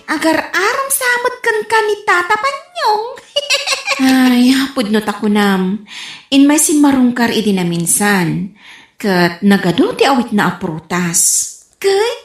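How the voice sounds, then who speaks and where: Female actor as high, lively young niece, cheeky, giggly, teasing, comic radio drama. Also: echoey room. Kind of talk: comedic radio drama acting.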